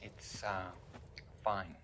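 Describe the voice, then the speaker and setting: An actor playing a troubled young war veteran studying medicine in a video game drama, polite, deferential and hesitant. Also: male voice, low voice, fairly even intonation, extremely slow, fairly bright tone, slight background noise, full character voice. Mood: troubled, doubtful. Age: young adult. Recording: music under the voice.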